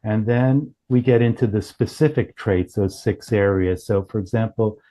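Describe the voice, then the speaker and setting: A man explaining a product demo as a company founder, in a halting, self-correcting way with many broken starts. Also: fairly low pitch, steady tone, warm tone. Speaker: company founder and chief executive.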